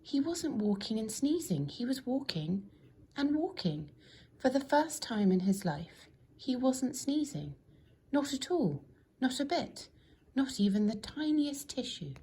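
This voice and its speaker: A woman narrating a children's storybook in an expressive, deliberate storytelling manner. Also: high-pitched, slightly rough. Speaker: storybook reader.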